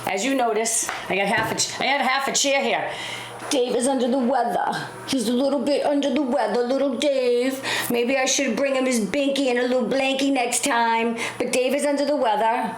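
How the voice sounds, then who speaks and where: A hoarse woman hosting a call-in talk show, brash and teasing, pushing her caller for answers. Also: a little high, normal speed, echoey room, a little hiss or hum, rough recording. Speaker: host.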